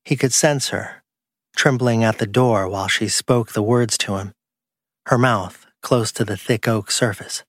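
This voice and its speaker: A man's voice: on the low side, measured pace, no room sound. A man reading crime fiction as a scripted audiobook narration.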